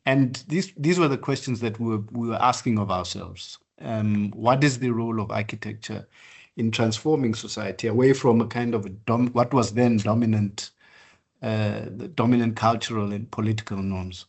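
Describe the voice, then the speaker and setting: An older man from southern Africa gives a lecture on his work. He speaks in a measured, halting way, pausing often on fillers and starting phrases over. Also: fairly low pitch, creaky. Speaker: architect lecturer. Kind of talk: online practice lecture.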